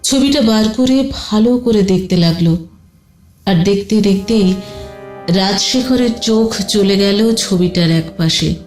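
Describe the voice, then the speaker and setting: A woman's voice: medium pitch, slow, a little mellow, reverberant, soft background music. A woman narrating a scripted detective audio story in a dramatic storytelling style.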